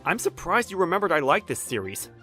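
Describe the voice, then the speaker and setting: A man's voice: on the high side, big pitch swings, quick pace, sharp tone. A man playing a flustered, jilted bachelor who narrates his awkward romance with dramatic, exasperated inner monologue in a manga dub. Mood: friendly, sheepish. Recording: background music under the voice.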